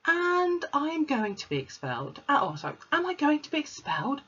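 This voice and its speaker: A woman voicing a small, clever, earnest girl in a read-aloud storybook, with a breathy voice. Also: a little high, very animated, normal speed, a bit bright, pitched above the performer's own voice, heavily acted. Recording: slightly muffled recording.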